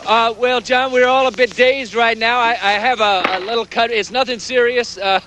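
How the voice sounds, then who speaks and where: A man's voice: very high voice, fairly even intonation. A man playing a dazed field reporter who has survived an ordeal, stammering through a live report outdoors. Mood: dazed, relieved. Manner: halting, stammering. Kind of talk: live on-scene news report. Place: outdoors on a city dock. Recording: noisy outdoor live remote news feed.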